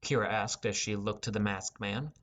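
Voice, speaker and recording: Low-voiced man narrating a fantasy audiobook. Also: fairly even intonation, moderate pace, fairly bright tone. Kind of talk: scripted first-person fantasy narration.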